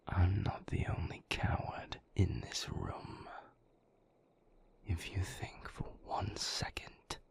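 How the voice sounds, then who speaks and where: A man playing a moody, bitter vampire boyfriend in an intimate audio roleplay, breathy and husky, with sardonic, cutting, deliberate phrasing. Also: deep voice, a little mellow, heavily acted. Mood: accusing. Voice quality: breathy, husky, gravelly half-whisper.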